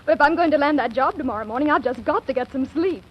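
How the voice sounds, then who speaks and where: A woman's voice: high voice, quick pace, close to an everyday voice. A woman acting a cheery young woman with an American accent in a 1940s radio advert. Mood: chirpy. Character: cheery young women chatting in a soap advert. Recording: muffled, noisy old radio recording.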